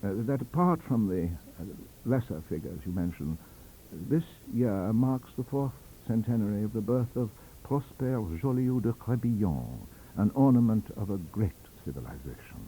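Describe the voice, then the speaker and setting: A man voicing a high-bred, unruffled professor, pedantic and hesitant, full of cool scorn, in an audiobook story. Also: expressive, normal speed, warm tone, a little hiss or hum, poor audio. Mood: measured, fastidious.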